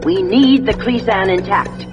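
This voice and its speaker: An actress playing a resolute warship intelligence officer in a sci-fi game, measured and military in delivery. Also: female voice, mid-range voice, lo-fi sound, close to an everyday voice. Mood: grave, businesslike. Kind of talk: scripted sci-fi game dialogue. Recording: loud music under the voice.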